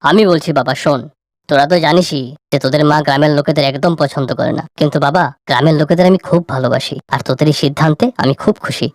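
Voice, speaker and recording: Woman as a firm, fast-talking family father. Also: low voice, a little mellow, dry recording, clean studio sound, pitched below the performer's own voice, natural voice. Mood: reassuring. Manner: reproving, insistent. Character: firm, fair-minded middle-aged father of grown sons.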